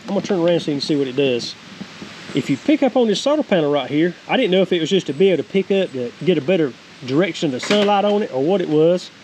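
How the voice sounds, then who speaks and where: Middle aged southern guy doin a folksy review, talks quick, kinda higher voice, bit of hiss outside. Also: animated, a little mellow, rough recording. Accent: Southern American. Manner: folksy, plain-spoken, repetitive. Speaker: vlogger reviewing a product. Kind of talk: casual product review. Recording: faint hiss and outdoor noise.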